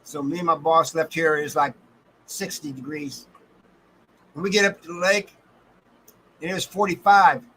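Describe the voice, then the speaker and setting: A man's voice: fairly high pitch, steady tone, normal speed, long pauses, bright, forceful tone, a little hiss or hum, low-quality recording. An American man hosting a casual livestream, talking in a folksy, colloquial way and addressing his viewers by name. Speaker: livestream host.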